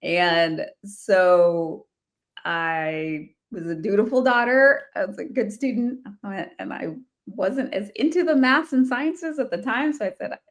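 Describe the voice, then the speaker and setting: A woman's voice: mid-range voice, unhurried. A woman from the Washington, D.C. area, a guest in an interview telling her life story casually, laughing often and restarting her sentences. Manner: laughs often while talking, many restarts. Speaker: guest, real estate investor.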